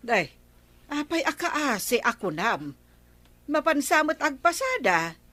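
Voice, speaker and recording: Talkative old village granny, high voice, homely, Ilocano accent, radio comedy drama. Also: female voice, big pitch swings, takes it slowly, sharp tone, no echo, heavily acted. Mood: welcoming.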